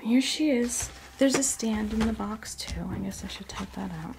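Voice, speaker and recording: A woman chatting in a breathy, creaky whisper for an ASMR unboxing video, rambling hesitantly through little asides as the host. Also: on the high side, normal speed, poor audio. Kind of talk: ASMR, soft rambling chat. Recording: music playing behind the voice. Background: clear background music.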